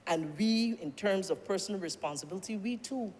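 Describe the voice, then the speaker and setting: Earnest Barbadian minister in a reverberant chamber. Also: medium pitch. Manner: formal, earnest, deliberate, with repeated rhetorical questions. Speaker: government minister in parliament. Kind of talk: parliamentary speech. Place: parliament chamber. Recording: long-reverb chamber with faint noise.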